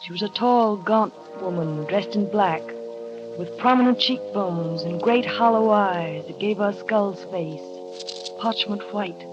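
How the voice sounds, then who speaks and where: An actress playing a timid young new wife who narrates and speaks hesitantly, with small stammers, in a 1930s radio drama. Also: female voice, medium pitch, slow. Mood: narrating. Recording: dull 1930s radio broadcast with music.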